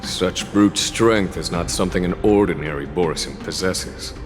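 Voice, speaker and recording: An actor playing a long-lived veteran general in a video game, calm, grave and courteous, speaking in measured, formal phrases. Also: male voice, low voice, close to an everyday voice. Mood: grave, reflective. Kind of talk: scripted game dialogue, solemn recounting. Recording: long reverb, game music underneath.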